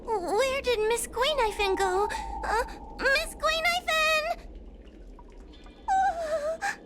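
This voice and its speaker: Squeaky, timid little girl. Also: extremely slow, background noise, exaggerated voice. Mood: anxious, whimpering. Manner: quavering, whimpering, hesitant. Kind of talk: anime-style video game acting.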